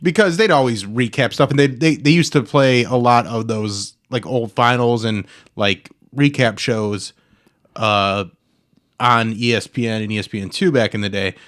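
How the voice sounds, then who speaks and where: A man's voice: medium pitch, moderate pace, a bit bright. A man hosting a podcast in casual chat, with a loose, informal way of talking. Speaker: podcast host.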